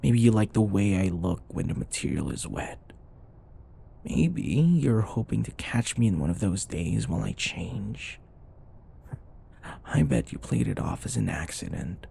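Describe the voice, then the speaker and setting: A smug, flirtatious male office rival played by a man in an intimate ASMR roleplay. His delivery is unhurried and very animated in pitch, teasing and sometimes murmured, with faint noise behind it. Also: gravelly, exaggerated voice. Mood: seductive, smug.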